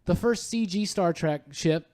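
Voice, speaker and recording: A man with a somewhat high voice hosts a casual panel chat. He talks with open enthusiasm and leans on his words for emphasis, like a fan sharing favorites. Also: measured pace, a little mellow. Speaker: podcast host.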